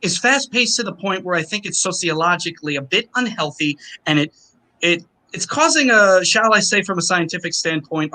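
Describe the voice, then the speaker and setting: A man's voice: on the high side, bright, forceful tone. A man guesting on an interview show, talking about his concerts in wordy, formal phrasing with playful flourishes. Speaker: opera singer guest. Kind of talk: casual interview chat.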